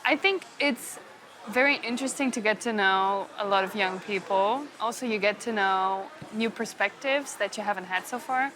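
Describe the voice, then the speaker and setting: A woman's voice: medium pitch, normal speed, bright, forceful tone, a little hiss or hum. A young woman, chatting about her studies and journalism work. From Germany. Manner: chatty, thinks aloud. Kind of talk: casual interview answers.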